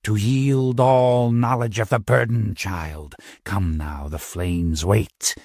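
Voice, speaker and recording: A man voicing a cold male cult leader who intones slowly in archaic speech. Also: on the low side, fairly even intonation, a little mellow, dry recording, studio quality. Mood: menacing. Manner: slow, intoning, old-fashioned thee and thou.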